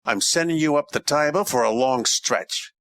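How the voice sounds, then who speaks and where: A man playing a hardboiled, wisecracking detective of ancient Rome in an old-time radio parody, with deadpan tough-guy delivery. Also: on the high side, moderate pace, no echo.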